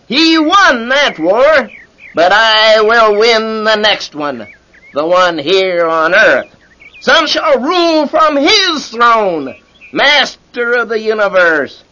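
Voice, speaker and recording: An actor voicing a proud, evil serpent in a religious audio drama, mocking, boastful and theatrical, with cackles. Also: male voice, extremely high pitch, sharp tone, exaggerated voice. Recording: dull lo-fi recording.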